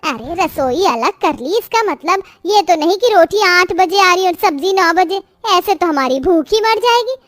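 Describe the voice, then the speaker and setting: A woman's voice: very high voice, expressive, moderate pace, hardly any pauses, fairly bright tone, dry recording, studio quality, soft background music. A woman playing a stern, grumbling older mother-in-law in a moral-story cartoon, reproachful and scolding. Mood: indignant.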